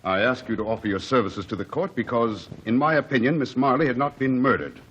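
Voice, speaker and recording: A man's voice: medium pitch, brisk, a bit bright, close to an everyday voice. A man voicing a cornered witness in a vintage radio courtroom drama. He stammers and hesitates as he answers. The sound is dull and lo-fi, with a faint hiss behind it. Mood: hesitant. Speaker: witness under cross-examination. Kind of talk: 1940s radio drama acting. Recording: dull lo-fi old radio broadcast.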